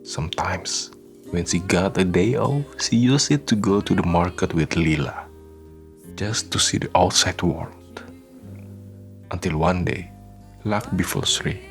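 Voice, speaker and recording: A man narrating a scripted radio drama in a solemn, measured storytelling manner. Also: sits low, faint music behind.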